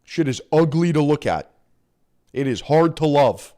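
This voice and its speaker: Lively-voiced male streamer, crude and wry. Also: on the high side, measured pace. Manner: crude, wry, laughs often. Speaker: streamer reacting to a video. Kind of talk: live reaction commentary.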